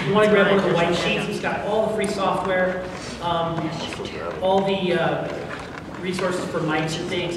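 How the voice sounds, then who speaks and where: High-voiced man in an echoey hall. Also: noisy background. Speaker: audio drama producer and panel host. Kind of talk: informal convention Q&A talk. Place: large indoor convention room with audience. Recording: echoey crowded hall, distant camera mic.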